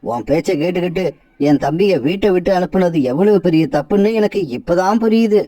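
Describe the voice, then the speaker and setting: A woman plays a tired husband bird in a cartoon, pushed around at home and always explaining himself. His voice is dark and mellow, and it sounds dry and a little away from the mic. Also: on the low side, fairly quick, no room sound, recorded from a distance, natural voice. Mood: defensive. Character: grown male bird, henpecked husband, weary.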